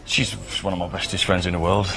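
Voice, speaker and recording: A man's voice: deep voice, level tone, quick pace. A man with a British accent playing an easygoing, attentive date in natural, casual dialogue. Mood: affectionate. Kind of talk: naturalistic improvised dating-scene dialogue. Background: background music.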